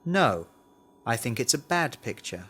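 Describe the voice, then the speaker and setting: Male language-course reader, slow and careful delivery, lively intonation. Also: mid-range voice, husky. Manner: deliberate, carefully enunciated model sentences. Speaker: language lesson presenter reading words and answers. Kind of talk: scripted language drill, read aloud.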